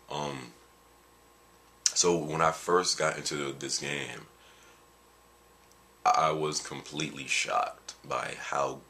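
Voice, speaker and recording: A tired man with a very deep voice, chatting casually in a video and rambling with jokes, fillers and restarts. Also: pushed and bright. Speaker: gaming video creator. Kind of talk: casual vlog chat.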